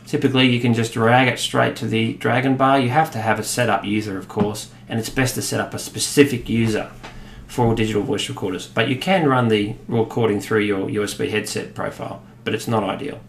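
A man in his thirties with an Australian accent, explaining a software demo in a relaxed way, with many fillers. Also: lowish voice, a little fast, gravelly, a little hiss or hum. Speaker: product demonstrator. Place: office room, indoors. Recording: echoey room with faint ambience.

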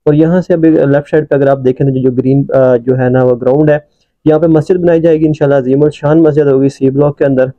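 A man, a real estate agent, narrating a property tour from inside a moving car in a brisk sales pitch with many "uh" fillers. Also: fairly even intonation, no echo, phone-quality sound. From Pakistan.

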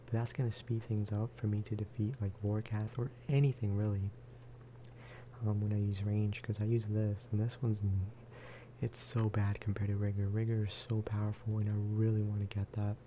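A man speaking softly in a low-key, rambling ASMR style as he chats over gameplay. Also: little pitch movement, normal speed, slight background noise. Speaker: gaming video creator. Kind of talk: soft-spoken ASMR gameplay chat.

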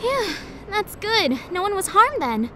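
An earnest young female rookie cop, played by a woman with a high voice and a polite, curious way of asking things. It is audio drama acting with faint noise behind. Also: sing-song, unhurried, bright, forceful tone, exaggerated voice. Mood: inquiring.

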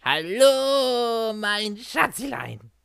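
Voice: medium pitch, sing-song, very slow, vocal fry, fairly bright tone, smooth, no room sound, clean studio sound, exaggerated voice. An amateur performer voicing a cocky, jealous cartoon pony gamer in a fan audio drama, whiny and boastful. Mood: cocky, flirty.

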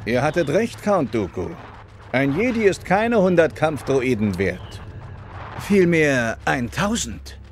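Stately, higher-voiced alien king. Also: big pitch swings, a little hiss or hum. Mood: firm. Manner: stately, unhurried, formal. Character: dignified, good-humoured alien king.

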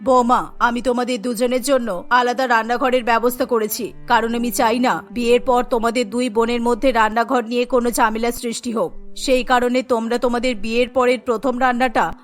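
A woman voicing a genteel, kindly older mother-in-law in a Bengali cartoon story, measured, courteous and firm. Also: fairly high pitch, flat delivery, soft background music, pitched below the performer's own voice, natural voice.

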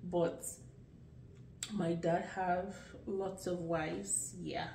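A woman in her late thirties with a Nigerian accent talks slowly through a casual vlog, her voice sitting a little low. She rambles and restarts, relaxed and chatty. The sound is roomy and echoey, with the mic some distance away. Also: creaky, slight background noise. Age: late 30s. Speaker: vlogger. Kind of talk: casual get-to-know-me vlog.

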